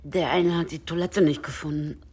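An actress playing a tipsy, brittle and unhappy wife in a radio drama, wistful and sometimes dropping to a whisper. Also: female voice, low voice, fairly even intonation, normal speed, darkish tone, slightly rough. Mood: quietly disappointed. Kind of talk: German radio drama acting.

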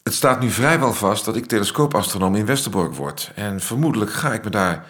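Worldly rake astronomer, radio play; low, animated, ironic. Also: male voice, brisk. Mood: wry. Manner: ironic, dry, womanizing. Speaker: Max, astronomer. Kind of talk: radio drama acting.

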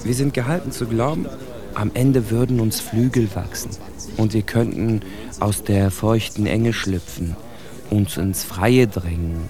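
A man's voice: on the low side, measured pace, crowd noise, natural voice. A man playing a bitter, articulate immigrant in a literary radio drama monologue, with intense, rhetorical phrasing. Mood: grim, reflective. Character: bitter, articulate immigrant man indicting his generation's plight. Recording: music bed under the voice.

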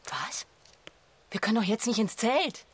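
An actress in a radio drama playing an earnest, morally challenging sister-in-law, husky and blunt. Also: female voice, on the high side, measured pace. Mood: insistent.

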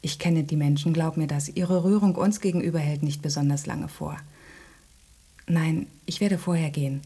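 A gently sceptical, motherly cat in a radio drama. Her voice is dark and husky, slightly low, with a soft, soothing delivery. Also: steady tone, moderate pace. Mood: tender, wistful. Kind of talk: intimate radio drama acting.

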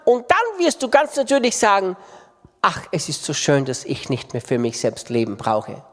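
Impassioned preacher in a reverberant hall. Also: male voice, high voice, huge pitch swings, normal speed. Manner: impassioned, emphatic repetition. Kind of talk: sermon. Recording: long reverb of a large hall.

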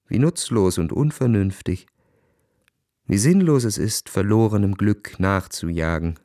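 A man's voice: lowish voice, a little mellow, no echo, studio quality. An actor playing a proud, world-weary young Russian officer who narrates his own story dramatically in a radio play.

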